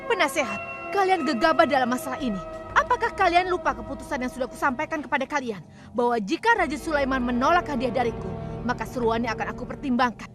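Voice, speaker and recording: A proud, shrewd cartoon queen. She is imperious and formal, in a rather high voice, with clear music underneath. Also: animated, quick pace. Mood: indignant. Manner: regal, commanding. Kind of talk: formal dramatic cartoon acting.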